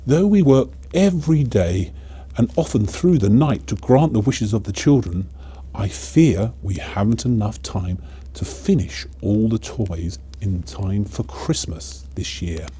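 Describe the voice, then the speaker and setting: Man as a worried, mellow-voiced toymaker. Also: fairly low pitch, lively intonation, a little slow, slight background noise. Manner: earnest, worried. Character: weary, worried, kindly male toymaker.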